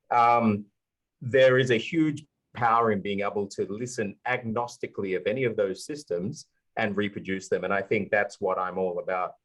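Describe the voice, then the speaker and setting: Man, low voice, earnest, explanatory, conference panelist. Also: fairly bright tone, poor audio. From Australia. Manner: earnest, explanatory, halting with fillers. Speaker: panelist, language YouTuber. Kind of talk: live conference panel talk.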